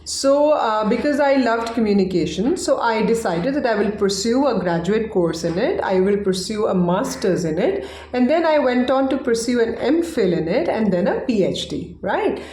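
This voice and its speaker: Casual, chatty woman English teacher, first language Hindi, fairly high voice, recorded in an echoey room. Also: lively intonation, normal speed, few pauses, a little mellow, slight background noise. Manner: chatty, checks in with "right?". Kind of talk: casual online lesson.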